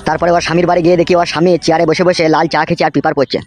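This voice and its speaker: Fast, high-voiced blunt cartoon henchman, played by a man. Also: level tone, faint music behind, close to an everyday voice. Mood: bitter storytelling. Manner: blunt, swearing, rural colloquial talk. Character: gruff foul-mouthed henchman, jilted and world-weary young man. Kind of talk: amateur comedy cartoon dub.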